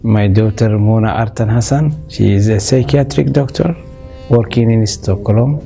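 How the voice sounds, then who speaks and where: A Somali-born man in his fifties answering interview questions in a measured way, pausing often with fillers. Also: medium pitch, vocal fry, mellow. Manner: measured, with frequent uh fillers and restarts. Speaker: interview guest, business owner.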